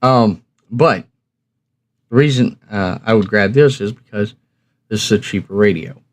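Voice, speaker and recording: A man's voice: fairly low pitch, a little slow. A man hosting a review channel, chatting casually and instructively to viewers in a rambling, folksy, plain-spoken way. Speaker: host of a radio review channel.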